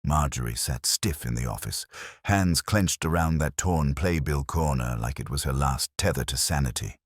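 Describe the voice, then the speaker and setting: A man's voice: a bass voice, normal speed, no echo, clean studio sound. A man reading a scripted first-person detective story in a hard-boiled narrating style.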